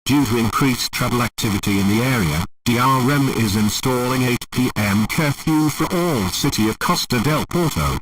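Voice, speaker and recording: A man's voice: medium pitch, lively intonation, normal speed, few pauses, a bit bright, far from the mic, rough recording. A man reading a formal scripted emergency broadcast announcement in a stiff, impersonal, official manner.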